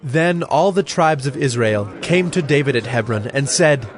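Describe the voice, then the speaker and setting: A man narrating dramatized scripture from a script in a measured, formal manner. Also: medium pitch, lively intonation. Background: music.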